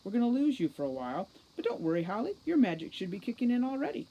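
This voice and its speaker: A woman's voice: fairly high pitch, very animated, quick pace, gravelly, dull audio, pitched above the performer's own voice, heavily acted. A woman voicing a wry male centaur tech expert from a storybook, clipped, matter-of-fact and dryly sarcastic. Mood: brisk concern.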